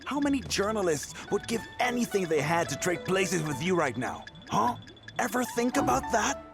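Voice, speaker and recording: Unscrupulous male newspaper boss for a game: higher-set voice, scornful, condescending, very animated. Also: moderate pace, vocal fry, over music, exaggerated voice. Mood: scornful, impatient. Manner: condescending, hectoring, slangy. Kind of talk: video game voice acting.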